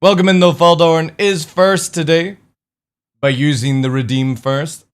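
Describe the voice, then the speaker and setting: A man's voice: a little high, fairly even intonation, a little slow, clean tone. A young man streaming, chatting casually with viewers, swearing now and then, his voice a little crackly. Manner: casual, swears, greets chat by name. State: slightly crackly voice.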